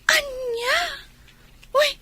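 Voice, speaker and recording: A woman's voice: extremely high pitch, fairly even intonation, drawn-out words, bright, forceful tone, exaggerated voice. A woman playing a curious, easily amazed young friend in a radio drama, inquisitive and exclamatory.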